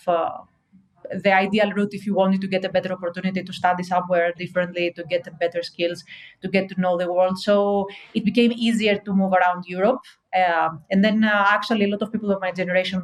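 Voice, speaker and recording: A woman in her thirties with a Greek accent, answering interview questions earnestly with many fillers and restarts. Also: medium pitch. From Greece. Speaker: podcast guest, SEO strategist.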